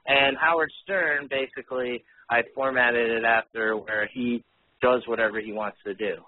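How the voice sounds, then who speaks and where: A man's voice: medium pitch, fairly even intonation, moderate pace. A man who hosts a radio show, chatting casually and bluntly as an interview guest. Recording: tinny phone-like line.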